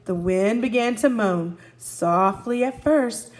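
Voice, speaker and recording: A woman with a fairly high voice reads a children's book aloud as a teacher would to a young class. Her delivery is expressive, and she steps in to recap and put questions to her listeners. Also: slow pace. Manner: expressive, asks listeners questions and answers for them. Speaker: first grade teacher. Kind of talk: children's read-aloud, recapping and narrating a story.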